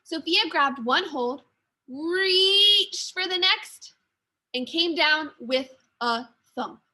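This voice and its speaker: A woman teacher reading a children's storybook aloud to young pupils in an expressive manner. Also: high voice, slow. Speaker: second-grade teacher.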